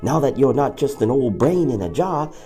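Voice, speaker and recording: A man's voice: medium pitch, very animated, normal speed, dark tone, faint music behind, lightly acted. A man playing a chummy, wisecracking henchman to an evil boss in an audio drama, with a folksy, casual manner. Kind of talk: audio drama character acting.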